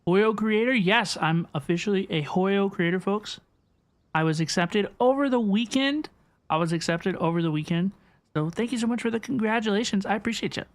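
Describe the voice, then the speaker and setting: A woman's voice: mid-range voice, darkish tone. A woman livestreaming a game, chatting casually and effusively as she answers her viewers. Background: game music.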